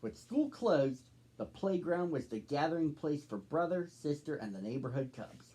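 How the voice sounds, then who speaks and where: Husky-voiced man reading a children's story. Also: a little high, lively intonation, normal speed, warm tone. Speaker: storybook reader, host.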